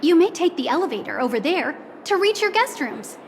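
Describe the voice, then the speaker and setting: A woman's voice: very high voice, very animated, brisk, a little hiss or hum, exaggerated voice. A woman playing a courteous hotel receptionist in a video game, speaking formally and politely as if serving esteemed guests. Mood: polite, welcoming. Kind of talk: scripted English video game dialogue. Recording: prominent music behind the voice.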